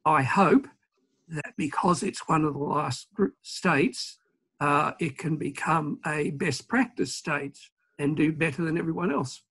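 A husky-voiced man in his sixties speaks slowly and deliberately over a webcam from a home office. His pitch sits a little high for a man, and he fills his pauses with "uh". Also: a bit bright. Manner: measured, many "uh" pauses. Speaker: environmental campaigner, panelist. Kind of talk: panel discussion answer. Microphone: video call webcam.

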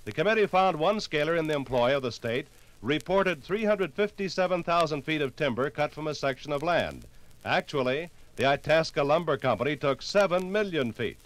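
Male American narrator, vintage 1950s radio history program. Measured, formal scripted read, slightly high register. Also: lively intonation, no room sound, rough recording.

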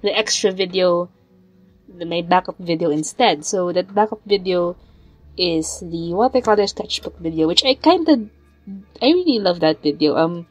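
A young woman with a raspy voice from a lingering cold, chatting in a rambling way as the host of her art channel. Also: fairly low pitch, a little mellow, no echo, rough recording. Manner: rambling, chatty, with fillers and sighs. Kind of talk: casual chat over drawing footage.

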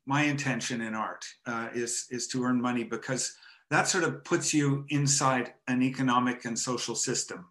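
An older man from Montreal, a photographer and artist, talking in a hesitant, reflective way with many pauses and restarts, on a headset over a video call from home. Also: mid-range voice, steady tone, a bit bright. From Montreal, Canada. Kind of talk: casual interview conversation. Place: home room with framed photo, indoors.

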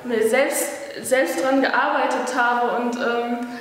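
A woman's voice: a little high, steady tone, slow, heavy reverb, big-room sound. A young woman student answering an interview hesitantly, with fillers.

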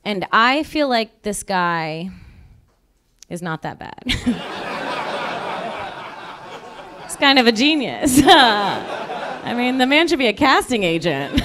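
A young woman doing stand-up on a club stage into a handheld mic, dropping dark punchlines in a chatty, deadpan way. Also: fairly low pitch. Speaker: stand-up comedian. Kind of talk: stand-up comedy set. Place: comedy club stage before a live audience. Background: audience laughter.

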